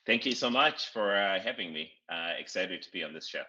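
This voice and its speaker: Man, very low voice, crisp forceful tone, dull lo-fi audio. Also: animated. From Zimbabwe. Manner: measured, with frequent "uh" pauses. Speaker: startup CEO guest. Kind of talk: interview answers.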